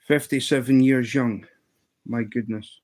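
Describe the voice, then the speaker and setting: A Scottish man in his fifties chats on his live stream at an easy, unhurried pace. He rambles playfully and fills his pauses with ums and false starts. His pitch sits in the middle range. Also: fairly even intonation, a little mellow. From Scotland. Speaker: livestream host. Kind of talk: casual live-stream chat.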